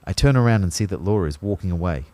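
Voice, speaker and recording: A man's voice: low voice, lively intonation, quick pace, darkish tone, dry recording. A man playing an anxious, lovelorn dead young man, narrating his limbo introspectively in the first person for an audio drama. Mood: subdued, uneasy. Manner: introspective, intent.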